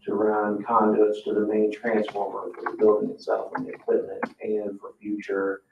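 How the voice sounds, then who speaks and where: Low gravelly man in an echoey meeting. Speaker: town staff member presenting to a board. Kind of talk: informal meeting presentation. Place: indoor public meeting room. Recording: muffled, echoey meeting room, distant mic, hiss.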